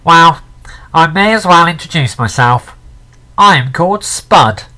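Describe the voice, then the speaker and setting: A man voicing a grumpy talking potato with a loud, nasal voice in a storybook reading. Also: on the high side, animated, a little slow, voice pushed up. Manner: loud, indignant and cranky.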